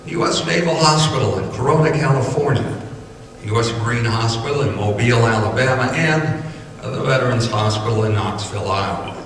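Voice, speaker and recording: A man's voice: mid-range voice, fairly bright tone, slight background noise. A man with an American accent doing old-time radio announcing and ad reading. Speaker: announcer and Foley artist. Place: performing arts center stage. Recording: echoey hall, distant mic, faint music.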